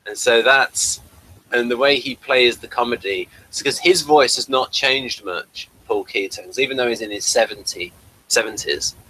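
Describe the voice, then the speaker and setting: Male review host, bright forward voice, halting and rambling, informal chat. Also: mid-range voice, poor audio.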